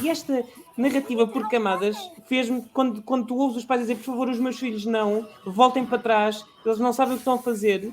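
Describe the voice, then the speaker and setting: A man with a European Portuguese accent, chatting as a podcast guest, passionate and halting with many restarts. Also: very high voice. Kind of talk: casual chat.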